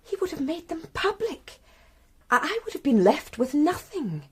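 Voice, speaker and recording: Wistful gentry wife confessing. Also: female voice, sits high, big pitch swings, moderate pace, a little mellow. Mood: resigned, confessing. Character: gentry wife confessing to killing her lover. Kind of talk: radio crime drama acting.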